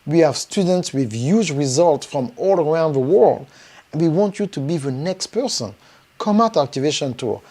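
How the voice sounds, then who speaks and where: A man with a French accent, a native French speaker, giving a live motivational pitch to viewers as a business coach, emphatic and repetitive, with frequent rising bursts. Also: lively intonation, normal speed, warm tone. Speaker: business coach promoting his event.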